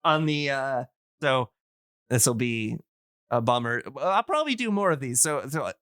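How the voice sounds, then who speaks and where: A man's voice: mid-range voice, big pitch swings, normal speed, a bit bright, no echo, clean studio sound. A man in a casual podcast chat, enthusiastic but hesitant as he searches for his words.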